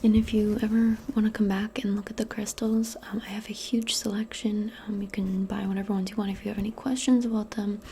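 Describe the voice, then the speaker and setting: A woman in a soft-spoken ASMR role play as a modern witch, talking in a gentle, hesitant, breathy half-whisper. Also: medium pitch, flat delivery, brisk, hardly any pauses, slight background noise. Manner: hushed, gentle, hesitant and informal.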